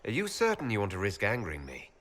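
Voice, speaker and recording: A man playing a calm, firm heroic leader in a video game, speaking in a direct, dry, earnest manner. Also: lowish voice, fast. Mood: stern, skeptical. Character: calm, firm male elf hero and leader.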